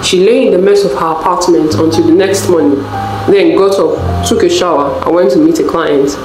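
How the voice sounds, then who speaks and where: A woman narrates a folktale in a fairly low voice. The sound is roomy, with music playing underneath. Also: a little fast. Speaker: storyteller. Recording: roomy echo, music bed. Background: background music.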